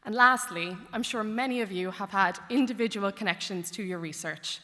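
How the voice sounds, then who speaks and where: Girl in her 20s giving a grad speech, bright voice, big echoey hall. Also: mid-range voice, moderate pace. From Ireland. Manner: heartfelt, lightly joking. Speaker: graduate speaker. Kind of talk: commencement speech. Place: large concert hall. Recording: long hall reverb.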